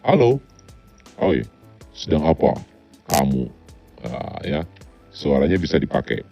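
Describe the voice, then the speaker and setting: A man putting on a deep male persona through a pitch-lowering voice changer. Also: very animated, takes it slowly, vocal fry, dark tone, soft background music, full character voice. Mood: casual greeting. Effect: pitch-lowering voice changer filter.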